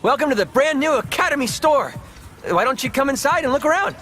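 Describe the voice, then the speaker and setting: A man's voice: very high voice, lively intonation, fairly quick, fairly bright tone, soft background music. An actor, a man, voicing a perky, over-friendly store proprietor in a game cartoon, eager and salesy. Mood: perky, welcoming. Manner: eager, salesy greeting style. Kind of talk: animated video game cutscene acting.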